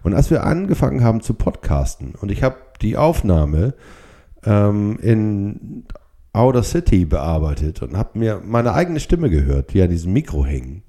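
A middle-aged or older man hosting a solo podcast, speaking reflectively and haltingly, with short blocks and pauses from a stutter. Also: lowish voice, sing-song, warm tone. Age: 50s or older. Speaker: podcast host.

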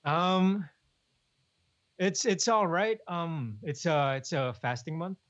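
Young man, casual podcast co-host, slightly high voice, dull lo-fi sound. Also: slow pace. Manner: relaxed, chuckling, many restarts and "man". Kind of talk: casual chat.

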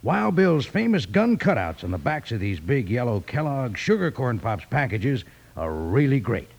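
An actor playing a chummy, folksy cowboy pitchman with a gravelly voice in a 1950s children's radio commercial. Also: normal speed, muffled recording. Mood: enthusiastic. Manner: animated, folksy Western exclamations.

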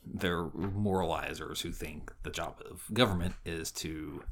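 A man's voice: deep voice, steady tone, a little slow, noisy background. A man co-hosting a podcast in casual, opinionated conversation. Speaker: podcast co-host.

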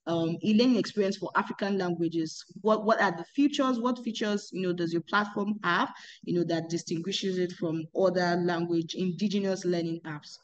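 A woman's voice: on the low side, normal speed, muffled recording. A woman hosting an interview podcast, asking questions hesitantly with many "um"s and repeated words. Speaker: podcast host.

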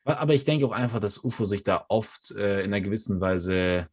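A man's voice: fairly low pitch, lively intonation, fairly quick, darkish tone. A young man in casual chat, laid-back and slangy, rambling with many fillers. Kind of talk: casual chat about rap albums.

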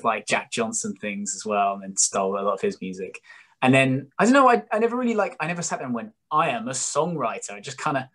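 A man's voice: medium pitch, a bit bright. British man, early 20s, musician interviewee. Informal, self-mocking, jokey and meandering. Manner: self-deprecating, rambling, jokey. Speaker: guest, singer-songwriter musician. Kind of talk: casual chat interview.

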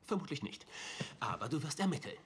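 An actor playing an eccentric, blithely confident detective who explains things in theatrical rambles, in a dubbed TV drama with music behind him. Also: male voice, a little high, very animated, fairly quick, a little hiss or hum. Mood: breezy certainty.

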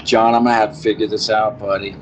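A man's voice: lowish voice, level tone, fast, slight background noise. Easygoing man rambling on a call. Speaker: podcast guest on a call. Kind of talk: casual rambling storytelling and chat. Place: calling in from a car.

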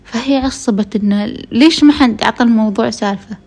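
A woman's voice: on the high side, fast, darkish tone. A woman with a Gulf Arabic accent hosts a podcast, casually telling a true story straight to listeners, her voice tired from being unwell. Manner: conversational, addresses listeners directly. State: tired voice from a week of illness. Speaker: podcast host.

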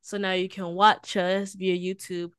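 A young woman with a Southern drawl hosts a casual podcast, teasing her co-host and hyping the show. Her voice is smooth and clear, and it sounds close and dry, with no room around it. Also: medium pitch, little pitch movement, unhurried, dry recording. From Houston, Texas.

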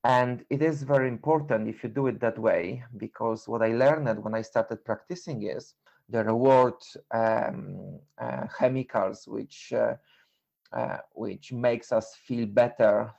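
A middle-aged man from Poland with a Polish accent, speaking reflectively and haltingly in an interview, repeating words as he goes. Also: medium pitch, fairly even intonation, creaky, darkish tone, dull audio. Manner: halting, repeats words, non-native phrasing. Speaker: interview guest, endurance runner and coach.

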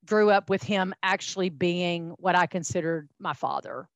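Middle-aged texan lady on a podcast, lowish voice, chatty and folksy, lots of ums, dry close sound. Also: normal speed, no room sound, highs cut off. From Texas, USA. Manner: chatty, folksy, with many fillers and restarts. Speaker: podcast guest. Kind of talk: casual interview storytelling.

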